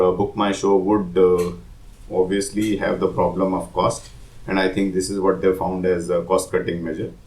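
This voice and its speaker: Man, somewhat low voice, slightly congested from a cold, podcast host, chatty news roundup. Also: a little mellow. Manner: loose and conversational, many uh and you know fillers. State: has a cold, voice not clear. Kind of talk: daily news roundup with personal commentary.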